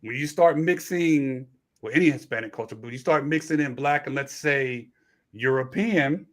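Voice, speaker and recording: A man's voice: medium pitch, moderate pace. A man hosting a casual late-night panel discussion, explaining at length and restarting his sentences. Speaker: host.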